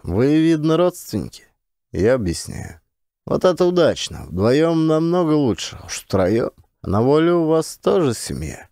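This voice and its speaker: A man voicing a gruff, weary male camp warehouse chief who talks in a mocking, curt way. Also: on the high side, sing-song, a little slow, mellow, dry recording, studio quality, pitched above the performer's own voice.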